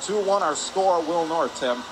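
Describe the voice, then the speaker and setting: A man doing excitable live radio play-by-play of a hockey game, shouting on big plays. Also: a little high, a little slow. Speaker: play-by-play announcer. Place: indoor ice hockey arena. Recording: noisy arena broadcast with rink ambience. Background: loud ambient arena noise.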